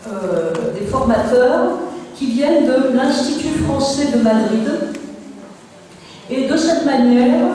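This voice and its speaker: A woman's voice: high voice, warm tone. A woman giving a conference presentation, explaining methodically step by step. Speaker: project presenter. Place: large reverberant room at a conference. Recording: echoey hall from far off, faint noise.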